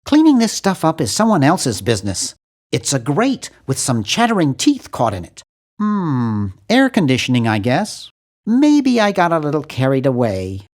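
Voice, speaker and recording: A young, timid nerd from a cartoon adventure game. His pitch sits high for a man and swings widely from phrase to phrase. He sounds prim and bookish, with a wry, fussy edge. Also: wildly swooping pitch, normal speed. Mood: squeamish, dry. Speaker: game character voice actor.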